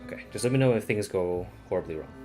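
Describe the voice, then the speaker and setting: A man's voice: low voice, lively intonation, fast, mellow. A man who streams games, chatting casually and reading game text aloud over game music. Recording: music playing behind the voice.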